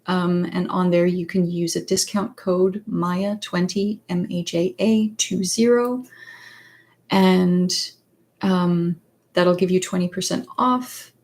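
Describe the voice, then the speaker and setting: A woman whose first language is Danish talks unhurried, in a fairly low voice, on a casual livestream. She fills gaps with ums, restarts her sentences and sometimes hunts for the English word. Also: fairly even intonation, darkish tone. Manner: hesitant, many fillers and restarts, searches for words. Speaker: psychologist and author hosting a livestream. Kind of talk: casual livestream chat answering viewer questions.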